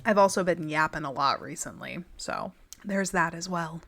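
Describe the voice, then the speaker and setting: Young female gaming host; slightly raspy, lowish and bright, chatty and wry commentary over gameplay. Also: animated, moderate pace, slight background noise. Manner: chatty and wry, laughs often, reads text then jokes about it. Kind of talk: casual gameplay commentary reading in-game text aloud.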